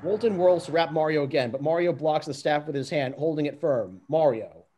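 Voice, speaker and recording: A man's voice: on the high side, a little fast, dark tone. A man reading stage directions aloud in an amateur script narration, often stumbling and restarting. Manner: stumbles and restarts over the text.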